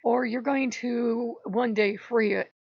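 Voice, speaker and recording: Woman, hoarse, casual rambling storyteller, clears throat, dull lo-fi audio. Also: a little high, steady tone, takes it slowly, no echo. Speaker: channel host. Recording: dull lo-fi recording.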